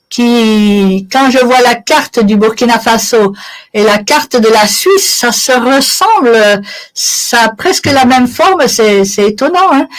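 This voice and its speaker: A woman's voice: a little high, rough recording. A woman of Italian origin giving conversational interview answers as a guest, explaining things with everyday examples. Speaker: interview guest, charity sponsorship volunteer.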